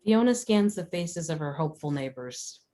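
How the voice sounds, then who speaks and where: Female narrator for screenplay stage directions; deep-pitched voice, scripted read. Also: animated, moderate pace, dark tone.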